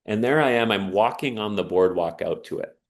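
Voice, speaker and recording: A man's voice: on the low side, lively intonation, brisk. A man giving an earnest, emphatic inspirational talk and telling a personal story. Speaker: speaker on sauna and resilience.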